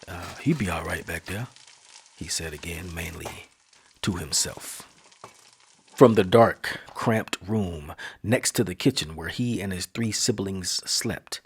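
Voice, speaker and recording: A man hosting a podcast, telling a spooky story in a slow, hushed, dramatic studio reading. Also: low voice, a little hiss or hum.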